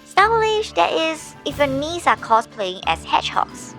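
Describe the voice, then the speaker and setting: A woman's voice: sits high, sing-song, unhurried, fairly bright tone, soft background music, full character voice. A woman voicing a showy game announcer reading out awards and item stats in a theatrical, snarky manner. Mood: brisk, snarky. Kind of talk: game system announcements, scripted.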